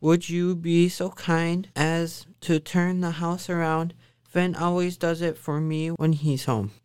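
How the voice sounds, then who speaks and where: Woman playing a shrewd, low-voiced wife. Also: fairly even intonation, takes it slowly, darkish tone, clean tone. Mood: welcoming. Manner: coaxing, unflappable, commanding. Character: shrewd, calm Irish giant's wife. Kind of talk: radio drama acting.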